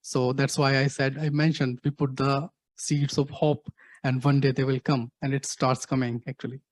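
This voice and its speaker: A middle-aged man with an Indian accent answering audience questions in a halting way, full of fillers and repeated "actually". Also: medium pitch, level tone, no room sound. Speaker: guest speaker, activist.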